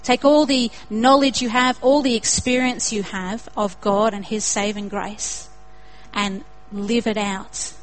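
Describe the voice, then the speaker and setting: A woman's voice: fairly high pitch, steady tone, a little slow, slight background noise, low-quality recording. A young woman with an Australian accent preaching a sermon in an earnest way, building to emphatic repetition.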